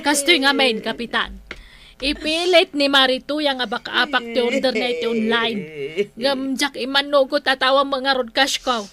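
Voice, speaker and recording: A woman's voice: high-pitched, steady tone, moderate pace, crowd noise, natural voice. A woman playing a stubborn, indignant village woman in an Ilocano comedy drama, with an Ilocano accent and an exclaiming way of talking. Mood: aggrieved. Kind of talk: Ilocano comedy radio-style drama acting.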